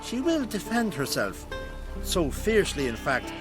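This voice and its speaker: An actor playing a jovial, worldly-wise druid in a video game, speaking in an expressive, theatrical way. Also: male voice, high voice, measured pace, over music, exaggerated voice, rough recording. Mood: earnest. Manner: expressive, theatrical, good-humored. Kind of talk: scripted video game acting.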